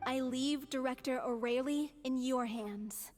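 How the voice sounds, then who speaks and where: A polite, high-voiced theatre woman, formal, over faint game music. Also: a little slow, darkish tone. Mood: earnest. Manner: formal and courteous.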